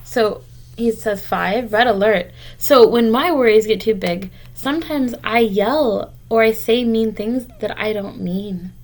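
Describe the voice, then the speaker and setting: Female teacher, higher-pitched, reading a storybook to small kids with simple, encouraging asides. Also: unhurried. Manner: simple, encouraging explanations addressed directly to children. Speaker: teacher reading a picture book aloud to children. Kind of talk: read-aloud lesson for young children, with explanatory asides.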